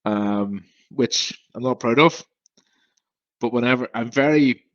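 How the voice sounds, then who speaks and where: A man's voice: medium pitch, slow pace, dry recording, highs cut off. A young man from Northern Ireland, a podcast guest who stammers, talking bluntly and frankly. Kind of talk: casual interview talk.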